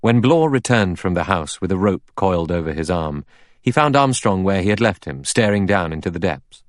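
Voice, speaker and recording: A man narrates a literary audiobook in a low voice. The reading is scripted prose, and the recording is close and dry, with no room sound. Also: animated, normal speed, no echo.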